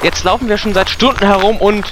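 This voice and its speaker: A man's voice: high-pitched, fairly even intonation, fast talker, poor audio. A man acting himself in scripted amateur audio drama dialogue. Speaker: cast member playing himself in audio play. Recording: faint music behind the voice.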